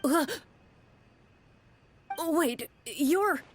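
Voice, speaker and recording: Woman acting out an anxious, excitable townsman, high-voiced. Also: very slow, slight background noise, heavily acted. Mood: startled. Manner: hesitant, exclamatory, storybook-like earnestness. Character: anxious townsman, easily overjoyed, courteous, hopeful. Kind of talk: role-played tabletop character in a video game. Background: background music.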